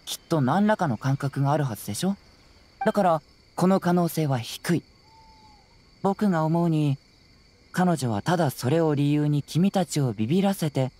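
Man playing a calm, self-assured young detective. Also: a little high, slight background noise, natural voice. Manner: composed, casual, analytical, self-assured. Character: calm, clever, confident young male detective. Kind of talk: anime game voice acting. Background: music.

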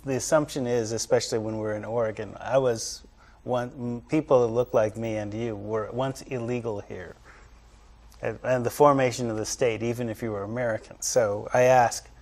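A man hosts a panel on a TV studio set, his voice at an ordinary middle pitch. He talks easily and loosely, with plenty of "um" and "uh" between his questions. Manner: relaxed, loose phrasing with many fillers. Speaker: TV show host. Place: TV studio, panel at a long table.

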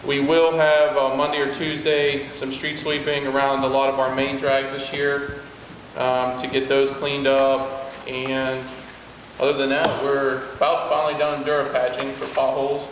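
A man, a city service director, giving a plain, halting spoken report to a council, full of fillers. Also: mid-range voice, monotone. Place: large wood-paneled meeting hall. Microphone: far room camera. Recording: echoey hall from far off, noisy.

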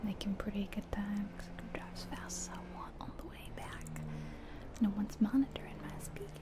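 A woman playing an easygoing night-shift taxi driver, musing softly to herself in a breathy ASMR roleplay. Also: mid-range voice, huge pitch swings, normal speed. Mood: calm, musing. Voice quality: breathy whisper. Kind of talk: soft-spoken whispered ASMR roleplay.

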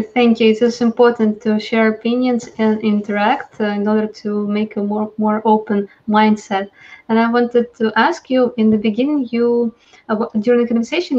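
A woman's voice: fairly high pitch, a little mellow. Young woman host on dull call audio. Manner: polite, searching for words. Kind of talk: interviewer questions. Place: home office. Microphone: video call.

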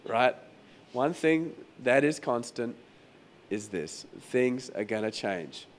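A man preaching a sermon as pastor in a croaky, hoarse voice, talking conversationally and repeating phrases for emphasis. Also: medium pitch, expressive, slow pace, slight background noise. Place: venue hall during a church service.

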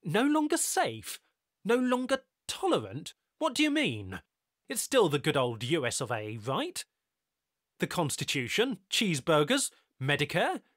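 A man voicing a high, pleading homesick American. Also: very animated, slow, a bit bright, no room sound, studio quality, full character voice. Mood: alarmed. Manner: pleading, rising to indignant outbursts. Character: homesick young American man, anxious, stubborn.

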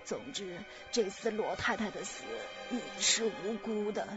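An actress playing a fretful, superstitious older mother in a radio drama, fussing with drawn-out words. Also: medium pitch, natural voice. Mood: resentful. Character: fretful, superstitious older mother, hard on her daughter-in-law. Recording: dull lo-fi audio with music behind.

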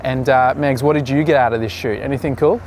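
A man in his thirties directing a beach photo shoot, relaxed and chatty, with many restarts and fillers, recorded outdoors at the shore. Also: medium pitch, fast talker, a little hiss or hum. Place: open sandy beach at sunset, outdoors. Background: music.

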